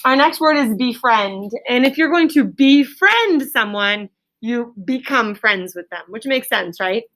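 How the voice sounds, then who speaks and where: A woman's voice: on the high side, lively intonation, unhurried, a bit bright. A woman teaching a classroom reading lesson to children, explaining things simply, repeating key words and prompting pupils with questions. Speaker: elementary school teacher.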